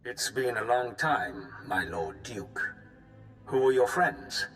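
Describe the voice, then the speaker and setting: An actor playing a smug, menacing baron in a video game, formal and condescending, with civil politeness over threat. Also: male voice, medium pitch, lively intonation, takes it slowly, fairly bright tone, soft background music. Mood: mocking. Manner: smooth-talking, condescending, formal. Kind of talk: cinematic video game villain acting.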